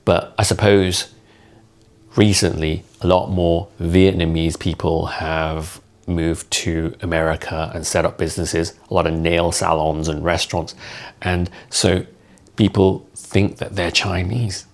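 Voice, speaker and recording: A man's voice: deep voice, little pitch movement, unhurried. A man from Hong Kong, a content creator giving a blunt, mocking explainer to camera. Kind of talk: opinionated explainer talk to camera.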